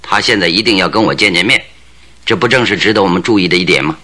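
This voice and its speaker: Shrewd, sharp-voiced radio-drama detective. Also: male voice, low-pitched. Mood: pointed, assured. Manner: deliberate, probing, theatrical. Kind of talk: Chinese radio drama acting.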